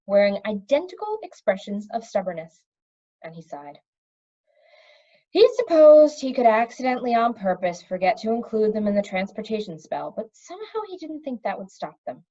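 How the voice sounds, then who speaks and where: Expressive woman storyteller, lively pitch. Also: muffled recording. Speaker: audiobook reader. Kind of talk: reading a children's fantasy novel aloud with character voices.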